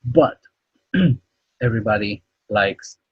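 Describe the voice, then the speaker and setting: Romanian guy pitching a business, lots of ums, low husky voice, kinda muffled. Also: expressive, slow, no echo. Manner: hesitant, many fillers and restarts. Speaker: business presenter. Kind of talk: recorded sales pitch.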